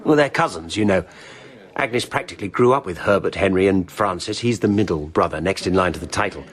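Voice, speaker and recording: An actor playing a gossipy, teasing upper-class Victorian gentleman with an English accent in a radio drama. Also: deep voice, a little fast, husky. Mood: confiding.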